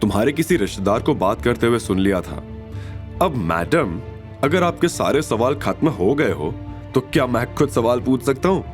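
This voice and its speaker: A man playing a kind young man in an audio drama, soft, earnest and reassuring. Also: mid-range voice, very animated, normal speed, faint music behind, close to an everyday voice. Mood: gentle, concerned.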